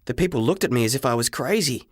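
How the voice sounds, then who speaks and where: A man narrating a scripted first-person story as an audiobook, with dramatic, expressive delivery. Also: medium pitch, fast, breathy edge, no room sound, studio quality.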